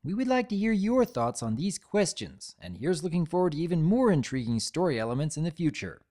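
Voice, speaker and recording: A man's voice: fairly high pitch, very animated, brisk, mellow. A man hosting a fan channel, giving scripted analysis commentary in an earnest, formally worded way.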